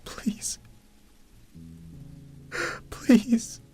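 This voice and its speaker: A man's voice: dark tone, in a whisper, faint music behind. A man playing a desperate, anguished brother in an audiobook, pleading and crying out. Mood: weeping. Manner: pleading, crying out, drawn-out words.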